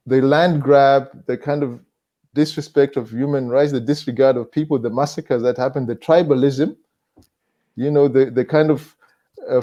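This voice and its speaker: A man with a Zimbabwean accent speaking as a panelist in a reflective discussion, measured and halting, repeating phrases for emphasis. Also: medium pitch, dark tone.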